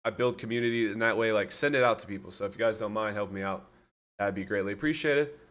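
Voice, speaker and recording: A man's voice: fairly low pitch, fast talker, fairly bright tone. A man hosting a casual livestream, chatting loosely and talking straight to his viewers. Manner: chatty, rambling, addresses viewers directly. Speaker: livestream host.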